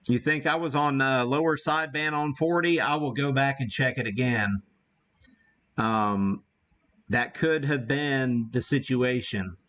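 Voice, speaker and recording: Muffled, lo-fi stream audio carries an American man with a middling-low voice. He talks slowly and casually, thinking out loud as he hosts a live demonstration. His phrasing is folksy and homespun. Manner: folksy, mild homespun exclamations. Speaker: livestream host demonstrating ham radio gear.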